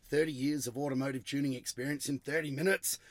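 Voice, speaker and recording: A middle-aged Australian man hosting a car tuning podcast in a blunt, emphatic, casual way, his voice gravelly from a sore throat. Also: medium pitch, fairly even intonation, quick pace, no room sound. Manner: blunt, emphatic, salty-tongued. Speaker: host of an automotive tuning show. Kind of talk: casual solo podcast talk.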